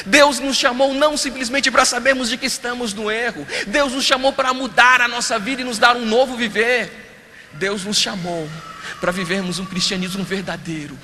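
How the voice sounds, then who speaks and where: A man preaching as a pastor, shifting between forceful appeals and hushed storytelling. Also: extremely high pitch, a little hiss or hum. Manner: preaching with rising and falling intensity, drops to hushed storytelling. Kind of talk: sermon. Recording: reverberant hall, faint ambience.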